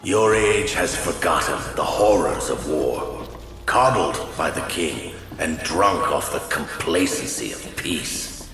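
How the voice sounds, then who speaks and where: An actor playing an ancient, grim undead warrior who passes scornful judgment in solemn, archaic pronouncements in a video game cutscene. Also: male voice, extremely low pitch, animated, slow, fairly bright tone, heavily acted. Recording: distant voice in echoing hall, music.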